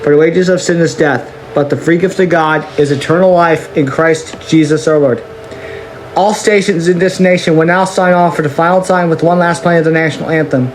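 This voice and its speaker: Guy playing solemn govt official doing an end of the world broadcast, kinda high voice, trips over words, bit of background noise. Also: fairly even intonation, close to an everyday voice, rough recording. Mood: solemn, resigned. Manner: solemn, halting, stumbling over words, repetitive. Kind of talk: amateur emergency broadcast acting.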